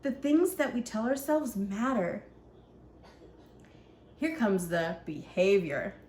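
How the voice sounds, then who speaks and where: A woman's voice: mid-range voice, very animated, slow pace, a little mellow. Woman reading as an earnest little storybook ninja, echoey room. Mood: earnest, instructive. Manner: earnest, instructive, speaking simply to children. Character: young ninja child, earnest storybook narrator teaching positive thinking. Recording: echoey room, faint background noise.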